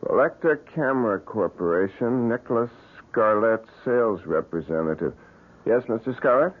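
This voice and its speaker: An actor playing an eager, stubborn photographer in an old-time radio drama, speaking in a halting, insistent way. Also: male voice, fairly low pitch, lively intonation. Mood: wary. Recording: dull lo-fi radio broadcast recording.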